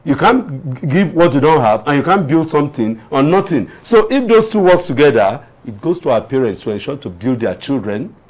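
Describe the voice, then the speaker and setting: A man's voice: a little high, animated, a little fast, few pauses, poor audio. A man with a Nigerian accent giving earnest, moralising commentary as a guest lawyer on a TV panel, repeating points for emphasis. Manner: earnest, preachy, emphatic repetition.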